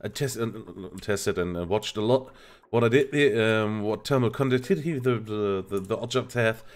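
A man's voice: lowish voice, moderate pace. A man with a German accent, a native German speaker, hesitantly explaining a game in a casual tutorial, searching for words. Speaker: game streamer, tutorial host. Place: indoor home streaming setup.

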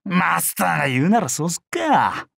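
Brash, swinging-pitched samurai brute. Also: male voice, sing-song, normal speed, fairly bright tone, no room sound, clean studio sound, heavily acted. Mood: casual, cocky. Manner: rough, swaggering delinquent-like speech. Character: brash, bloodthirsty, boisterous young male samurai warrior. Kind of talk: Japanese mobile game character voice lines.

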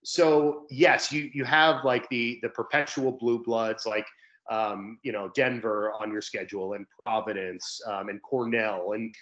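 A man's voice: fairly low pitch, steady tone, a little slow, a bit bright, muffled recording. A young man, a sports commentator, talking through his points conversationally as a guest on a video-call interview. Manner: talkative, explanatory. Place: indoor room at home, video call.

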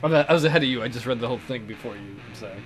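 A man's voice: mid-range voice, rapid-fire. A man hosting a let's play with casual, teasing, sarcastic commentary. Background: soft guitar music.

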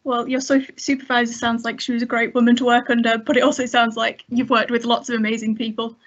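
A woman's voice: on the high side, flat delivery, quick pace, muffled recording. A young woman hosting an online event, asking questions and reading friendly closing announcements over a headset on a video call. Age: young adult. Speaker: host. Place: indoors in a bedroom.

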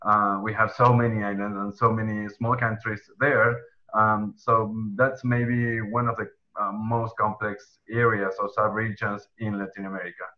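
Young Argentine man, Spanish accent, low-pitched, halting panel host, muffled call audio. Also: level tone. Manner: hesitant, many ums and restarts. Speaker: panel moderator, HR consultant. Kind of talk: webinar panel introduction. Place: indoor room at home. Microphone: headset earphones on video call.

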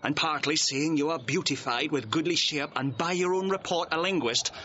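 Outlaw spokesman, classical audio drama: matter-of-fact, forceful, fairly high voice. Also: moderate pace. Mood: persuasive. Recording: dull, hissy archive recording.

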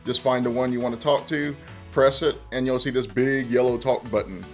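A man's voice: mid-range voice, fairly even intonation, a little fast, soft background music. A man in his thirties presenting a how-to tutorial in a conversational, step-by-step way. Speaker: tech presenter. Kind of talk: casual how-to tutorial narration.

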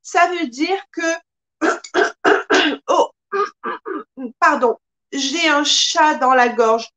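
A woman in her thirties teaching a live-streamed French lesson, speaking carefully and expressively, recorded by a webcam in a small room. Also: high voice. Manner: slow, careful, expressive teacher with lots of hand gestures. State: occasional coughing from pollen allergies.

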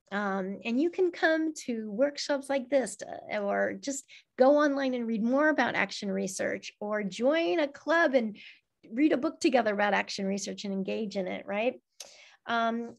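A woman's voice: on the high side, creaky. A middle-aged woman giving an online teacher-training talk over a video call, conversational and encouraging, often checking in with "right?". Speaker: workshop presenter.

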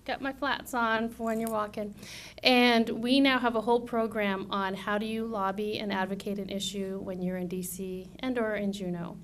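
Brief: woman, 30s, conference speaker, soft voice, persuasive and lively, podium mic in a meeting room. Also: on the high side. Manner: energetic, persuasive, rhetorical questions. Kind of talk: conference presentation. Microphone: podium microphone.

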